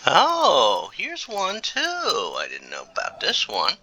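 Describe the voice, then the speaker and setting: A man's voice: fairly high pitch, huge pitch swings, slow pace, bright, forceful tone. A man giving casual video game playthrough commentary, muttering to himself as he plays.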